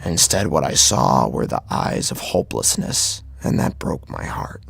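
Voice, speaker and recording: Male narrator, very low pitch, husky, grave and intense, dramatic horror storytelling. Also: very animated, measured pace, no room sound.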